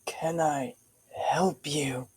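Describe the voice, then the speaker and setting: A man playing a gaunt, sorrowful old butler in a gothic audio drama, speaking in a hushed, formal way. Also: on the high side, fairly even intonation, very slow, a little hiss or hum.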